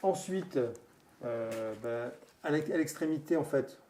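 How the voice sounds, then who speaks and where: A man hosting a show, presenting a product conversationally in a halting, informal way and chiming in with agreement. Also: fairly high pitch, animated, warm tone, a little hiss or hum.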